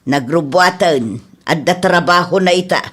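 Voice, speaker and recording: A woman's voice: on the low side, moderate pace, over loud music, lightly acted. A woman voicing a weary, patient middle-aged mother in a radio drama, plaintive and placating. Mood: exasperated. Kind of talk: amateur radio drama acting.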